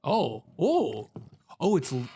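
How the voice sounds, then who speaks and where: A man's voice: on the high side, wildly swooping pitch, slow, mellow, a little hiss or hum. A man giving casual let's play commentary on a video game, thinking aloud in a hesitant, self-questioning, rambling way.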